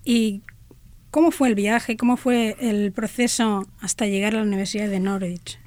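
A woman's voice: mid-range voice, fairly even intonation, normal speed, darkish tone, dry recording. A woman hosting a radio interview, reading her welcome and then asking the guest questions. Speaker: radio host.

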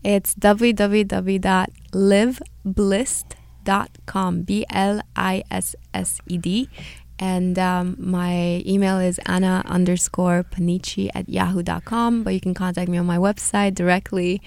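A woman's voice: lowish voice, little pitch movement, unhurried, vocal fry, a little mellow, no echo. A woman with a Hungarian Romanian accent, a holistic healer talking as a guest in a radio interview, earnest and encouraging, with small repeats.

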